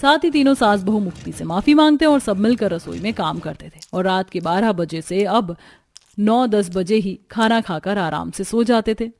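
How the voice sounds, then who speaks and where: A woman's voice: mid-range voice, normal speed, darkish tone, no echo. A woman giving scripted narration for a cartoon family story.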